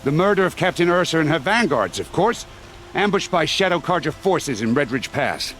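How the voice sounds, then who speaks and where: An actor playing an arrogant city gate guard in a video game, scornful and commanding. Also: male voice, fairly high pitch, very animated, moderate pace, fairly bright tone, slight background noise.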